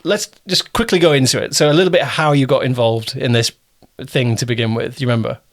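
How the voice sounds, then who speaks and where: A man's voice: mid-range voice, fast talker. A man hosting a casual interview as a producer, talking informally and often rephrasing himself as he goes.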